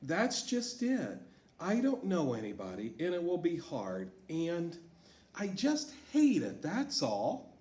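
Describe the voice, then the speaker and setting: A man voicing an upset young schoolgirl who refuses to go to her new school, high and protesting. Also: huge pitch swings, slow pace, a little mellow, full character voice. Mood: pleading. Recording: echoey room.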